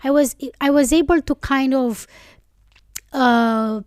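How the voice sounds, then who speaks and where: A woman's voice: high-pitched, takes it slowly, clean tone, dry recording, studio quality. A woman from Romania, an English speaker, hosting her podcast in a reflective, rambling way that keeps correcting itself. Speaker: podcast host. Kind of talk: casual solo podcast reflection.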